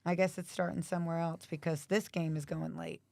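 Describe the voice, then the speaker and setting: A woman with a raspy, allergy-hoarse voice chatting casually while watching hockey at home. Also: fairly low pitch, flat delivery, fairly quick, warm tone, no room sound. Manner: chatty, asks many questions. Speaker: livestream host.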